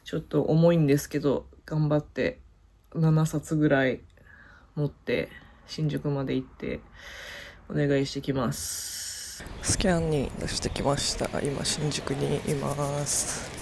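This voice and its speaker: A woman's voice: sits low, steady tone, brisk, vocal fry, darkish tone, a little hiss or hum. A young woman with a Japanese accent, a language teacher chatting casually in a vlog, hesitant and self-deprecating. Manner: hesitant, self-deprecating, full of "like" and "I don't know".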